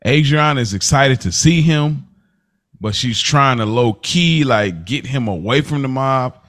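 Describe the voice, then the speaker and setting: Casting: young adult male podcast co-host, easygoing, mid-pitched voice, chuckles between thoughts. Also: animated, unhurried, a bit bright. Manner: laid-back, laughs often, restarts sentences. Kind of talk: casual chat.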